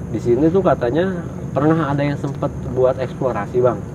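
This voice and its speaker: A man's voice: mid-range voice, normal speed, distant mic, lo-fi sound, noisy background. A man with a cold, co-hosting a ghost-hunting vlog and telling viewers about an abandoned factory at night. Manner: chatty, explains eagerly to viewers. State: has a cold. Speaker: co-host of a ghost-hunting channel. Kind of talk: casual chat on location.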